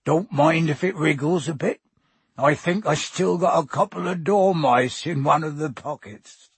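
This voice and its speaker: A man voicing a gruff, friendly giant gamekeeper, hearty and proud, with husky, folksy, colloquial speech. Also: on the high side, unhurried, no echo, heavily acted. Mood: loud, brisk. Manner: folksy, colloquial, dropped words.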